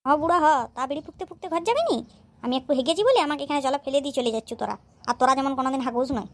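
A woman's voice: sits high, animated, very fast. A woman voicing a whiny hapless bridegroom. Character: hapless young village bridegroom.

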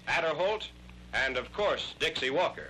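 A man's voice: on the high side, expressive, normal speed, pushed and bright. An American man narrating a scripted 1940s sports newsreel in a jaunty, wry, wisecracking manner. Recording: noisy vintage 1940s newsreel soundtrack.

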